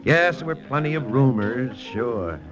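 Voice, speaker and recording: An actor playing a wry, hard-boiled American army lieutenant in old-time radio drama, clipped and slangy. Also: male voice, mid-range voice, animated, measured pace, close to an everyday voice. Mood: wry, rueful. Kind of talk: 1940s-50s radio drama acting and narration. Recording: vintage radio broadcast with faint music.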